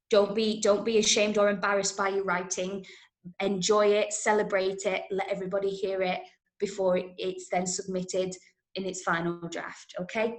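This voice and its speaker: A woman from Manchester with an English accent, teaching a script-writing workshop and often checking that listeners follow. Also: mid-range voice. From Manchester, England. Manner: explanatory, checks understanding with questions. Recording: roomy, echoing room sound.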